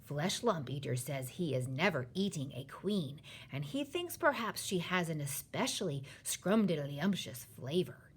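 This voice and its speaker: Woman voicing a gentle, husky storybook giant. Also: sits low, lively intonation, a little slow, few pauses, heavily acted. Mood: matter-of-fact. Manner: muddled folksy grammar and invented words. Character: gentle, stubborn, gloomy old male giant. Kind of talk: children's storybook character reading.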